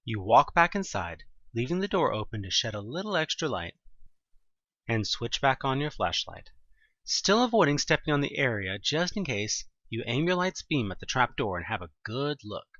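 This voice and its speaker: Expressive male narrator with lively pitch swings. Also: normal speed, no room sound, dull audio. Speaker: audio drama narrator. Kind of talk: dramatic second-person scripted narration.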